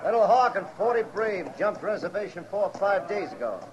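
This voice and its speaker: A man's voice: sits high, expressive, fairly quick, background noise. A man playing a grim, battle-worn frontier cavalry lieutenant with a clipped military manner in a 1950s radio western. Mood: grim, weary. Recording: dull old radio recording.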